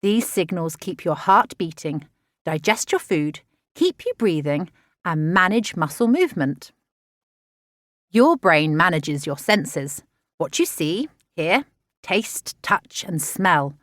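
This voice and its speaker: A woman reading a children's nonfiction audiobook in an expressive, measured, explanatory way. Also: lowish voice, no echo, studio quality. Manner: clear, measured, expressive teaching delivery for children. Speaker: author narrating own audiobook.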